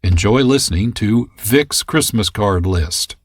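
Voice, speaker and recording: A man's voice: fairly low pitch, lively intonation, measured pace, a little hiss or hum. A man hosting a radio program in scripted narration. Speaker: program host.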